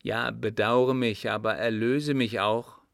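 A storybook lover as a male narrator plays him, ardent and possessive. His low voice presses and pleads with hot-tempered urgency, in a very dry recording. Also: fairly even intonation, slow pace, a bit bright, no room sound. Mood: pleading, ardent. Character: passionate, possessive Frenchman wooing a woman, insistent and hot-tempered. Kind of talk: audiobook character dialogue.

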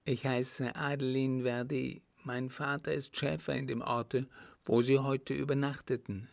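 A man's voice: medium pitch, level tone, measured pace, gravelly, dry recording, voice pushed up. A man voicing a bold, cool-headed young woman in an audiobook, composed and defiant. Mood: calm, open. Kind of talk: audiobook character voice.

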